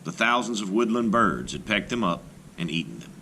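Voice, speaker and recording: A man, a teacher, reading a folktale aloud for children with expressive narration. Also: on the low side, brisk, slight background noise. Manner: expressive, rising and falling with the tale. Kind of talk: storybook read-aloud narration.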